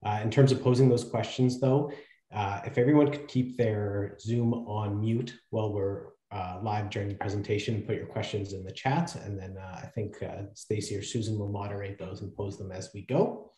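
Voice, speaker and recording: A man with a low, mellow voice makes friendly announcements on a video call. He hesitates now and then with fillers. His voice echoes in the room. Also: fairly even intonation, normal speed. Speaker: event co-chair and moderator. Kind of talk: housekeeping announcements.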